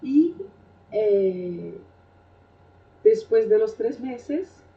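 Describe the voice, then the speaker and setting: A middle-aged Brazilian woman, a Portuguese native speaker with a Brazilian Portuguese accent, telling her life story in an unhurried, reflective way with frequent hesitations. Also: medium pitch, animated. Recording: roomy, distant, dull sound.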